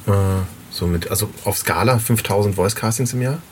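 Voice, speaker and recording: A man's voice: lowish voice, a little fast. A man interviewing a guest in casual, unscripted conversation, stressing points by repeating words. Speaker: podcast interviewer, runs a voice casting agency. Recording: clear background noise.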